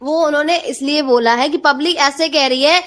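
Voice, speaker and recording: High-pitched woman pressing her point. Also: normal speed, a bit bright. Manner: insistent, pressing to be heard. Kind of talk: argumentative group call discussion.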